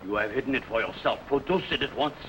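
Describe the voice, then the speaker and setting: A man's voice: medium pitch, fast, fairly bright tone, natural voice, low-quality recording. A man playing a fierce, commanding Hun warlord king in an old radio drama, barking harsh orders. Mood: threatening. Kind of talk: 1950s radio drama acting. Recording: hissy old radio broadcast recording.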